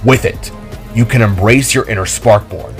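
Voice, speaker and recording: A man voicing a calm, wise armored hero, a brotherly mentor speaking in a measured, formal way in an audio drama. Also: on the low side, natural voice. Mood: calm, instructive. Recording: background music under the voice.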